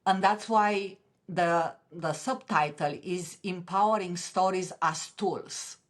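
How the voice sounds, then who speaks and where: Romanian-accented woman on a podcast, lowish voice, passionate, keeps restarting sentences. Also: slow. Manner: earnest, passionate, with frequent restarts. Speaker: podcast guest, author. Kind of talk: interview conversation.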